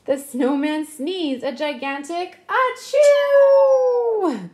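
High-voiced, animated woman reading a storybook. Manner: expressive, slow, drawn-out emphasis. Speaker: children's storybook reader. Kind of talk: animated picture-book read-aloud for children.

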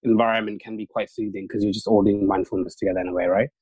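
A young man whose first language is Urdu speaks fast and loosely, piling on fillers and restarts. His voice sits a little low. The recording is close and dry. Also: flat delivery, darkish tone, breathy edge, no room sound, rough recording. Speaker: interview guest, junior doctor. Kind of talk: casual interview talk.

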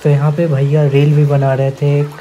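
A man's voice: on the high side, muffled recording, slight background noise. A man guiding viewers on a walking tour through a cave with a stream, talking to them as he goes. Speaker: travel vlogger. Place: outdoors in a rocky cave gorge with a rushing stream and waterfall. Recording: flat voice under loud rushing water. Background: loud rushing water.

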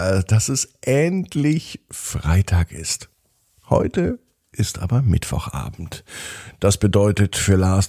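A man hosts a children's podcast, narrating a bedtime story expressively with drawn-out phrases. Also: fairly low pitch, creaky, dark tone, no room sound, studio quality.

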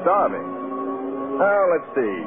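Wry film star, swooping vintage radio voice. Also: male voice, on the high side, very animated, slow pace. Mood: playful, flirtatious. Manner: flippant, wry. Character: charming, self-mocking, hard-drinking male film star. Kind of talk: old-time American radio drama acting. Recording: tinny vintage radio broadcast with music.

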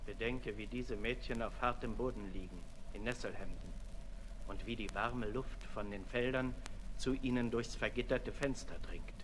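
A man playing a brooding, sensual libertine aristocrat in a 1960s German radio drama, declamatory, moving between hushed and loud. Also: mid-range voice. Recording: reverberant 1960s radio recording, faint noise.

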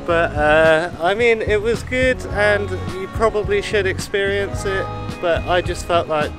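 A man's voice: high-pitched, a little slow. A man in his thirties chatting casually to a camera at arm's length as a travel vlogger on city streets at night. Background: music, street and vehicle sounds.

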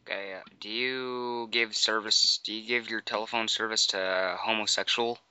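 A man's voice: fairly low pitch, steady tone, moderate pace, sharp tone, slight background noise. A man making a casual prank phone call, informal and provocative, acting clueless. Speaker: prank caller.

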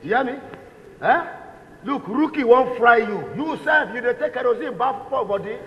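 A man with a Nigerian accent playing a cheeky, excitable layabout in a stage comedy, teasing and exclaiming. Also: extremely high pitch, normal speed. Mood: mocking, excitable. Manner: exclamatory, sing-song, teasing. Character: cheeky, excitable male layabout, mocking and playful. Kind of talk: comic stage acting in Nigerian Pidgin. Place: indoor theatre stage set. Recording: reverberant stage, dull sound.

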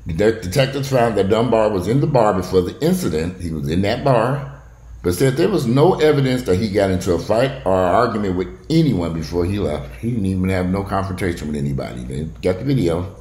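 A man's voice: deep voice, animated, a little fast, darkish tone. A middle-aged man giving a blunt, casual, opinionated monologue that wanders off on asides and includes some swearing. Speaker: vlogger commenting on news. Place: bright sunroom at home, indoors. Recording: roomy, echoey room with faint noise.

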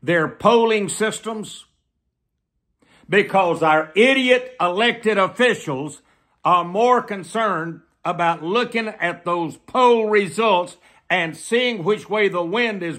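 An American man in his seventies preaching to camera in an emphatic, deliberate way, repeating phrases for weight. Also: high-pitched, fairly bright tone. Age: 70s. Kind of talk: sermon delivered to camera. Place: small plain room indoors.

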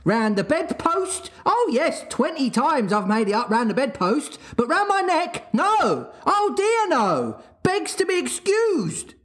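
A panicked, sarcastic storybook father rants at full tilt, put on by the reader, his voice shooting far above a normal range. He yells and pleads theatrically, and he wildly overstates every complaint. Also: high voice, big pitch swings, slow pace, hardly any pauses, pitched above the performer's own voice, full character voice. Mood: exasperated. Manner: yelling, melodramatic, sarcastic. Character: frantic sarcastic Edwardian father.

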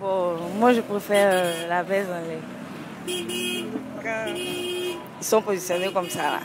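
A young woman answering a street interviewer's mic at a roadside, relaxed and hesitant. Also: mid-range voice, slow pace. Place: outdoor roadside by parked cars. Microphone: handheld mic held by interviewer. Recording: outdoor traffic noise. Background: street traffic.

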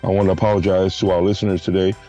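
A man hosting a church podcast, hoarse from a flu, making announcements and leading a prayer with halting restarts. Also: sits low, flat delivery, dark tone, lo-fi sound, soft background music. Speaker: podcast host. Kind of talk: casual church podcast chat.

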